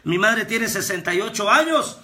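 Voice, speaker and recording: A man, preaching a fervent devotional sermon as a pastor and prophet, with repetitions that rise to shouts. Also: high-pitched, animated, normal speed. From Guatemala. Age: 30s.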